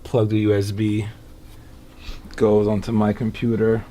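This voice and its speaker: A man's voice: lowish voice, completely flat delivery, unhurried, noisy background. A man in his thirties giving a casual technical walkthrough of synthesizers while playing them in a recording studio. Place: wood-paneled recording studio, indoors.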